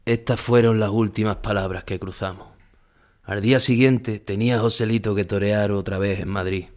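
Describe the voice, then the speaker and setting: Casting: low-voiced Spanish male actor for an older bullfighter haunted by grief, solemn first-person radio drama narration. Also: steady tone, moderate pace, lightly acted. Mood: solemn, reflective. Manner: solemn, emotional storytelling. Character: famous older bullfighter, grief-stricken, remembering his dead rival. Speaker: narrator playing a bullfighter recalling the past.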